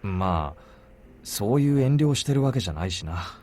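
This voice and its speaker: A man's voice: fairly low pitch, animated, rapid-fire, darkish tone, a little hiss or hum, lightly acted. An actor voicing a caring, easygoing young man, the plainspoken, informal protagonist of a visual novel. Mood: flustered, conceding. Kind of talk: Japanese visual novel voice acting. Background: game music.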